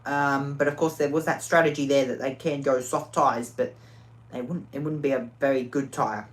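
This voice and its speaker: A woman commentating a racing game live, excitable, often repeating and restarting phrases, her voice worn out. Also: very deep voice, normal speed.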